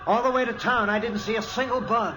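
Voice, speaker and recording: An actor playing a blunt, matter-of-fact helper in a 1940s radio drama. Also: male voice, very high-pitched, brisk, over music, low-quality recording. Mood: tense. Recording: old radio recording with clear hiss.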